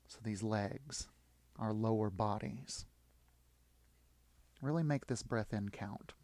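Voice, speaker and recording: A man leading a guided yoga nidra meditation in short, soothing, encouraging phrases with long pauses. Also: lowish voice, darkish tone, no echo.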